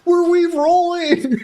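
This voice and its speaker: A woman's voice: very high-pitched, warm tone. A woman giving casual, giggly, teasing reaction commentary as she streams a game. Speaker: gaming streamer. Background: faint game music.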